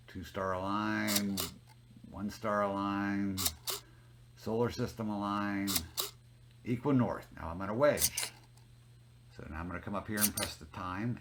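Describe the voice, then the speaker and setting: A man's voice: low-pitched, creaky. A man giving an informal technical demo as a hobbyist, narrating step by step in a plain-spoken way. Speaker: hobbyist demonstrating a homemade telescope automation setup. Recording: noticeable background noise, homemade video.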